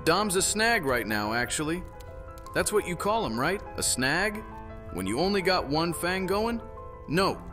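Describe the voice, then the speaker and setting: An actor playing a wry, teasing Roman army commander in a fantasy audio drama, bantering and skeptical. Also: male voice, sits high, sing-song, a little slow, over music.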